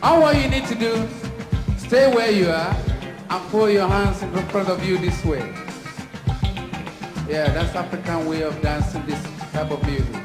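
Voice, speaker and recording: Nigerian male dance instructor, high voice, calls out the steps, roomy echo, loud music behind. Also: unhurried, pauses often. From western Nigeria. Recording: echoey space with loud music.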